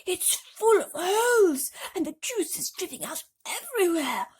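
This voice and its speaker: Lady as old grasshopper fella in a bedtime story, excitable, wails everything, very up and down. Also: very high-pitched, unhurried, voice pushed up, exaggerated voice. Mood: alarmed. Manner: exclamatory, wailing delivery. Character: old male green grasshopper, excitable and dramatic.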